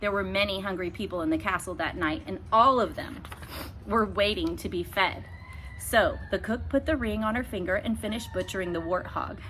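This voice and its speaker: A woman reading a children's book aloud expressively, with emphatic phrasing and dramatic pauses. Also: medium pitch, a bit bright, poor audio. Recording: faint background noise.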